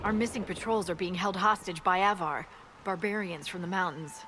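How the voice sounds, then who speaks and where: A woman's voice: on the low side, natural voice. A woman playing a blunt army scout. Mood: briefing. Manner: blunt, brisk. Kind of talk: video game voice acting. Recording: game audio with ambient noise.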